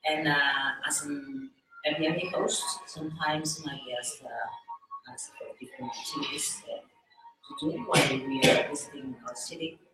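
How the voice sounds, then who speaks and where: A woman from Barcelona introducing herself slowly and hesitantly in English. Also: deep voice, fairly even intonation. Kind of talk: self-introduction. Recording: echoey room with music.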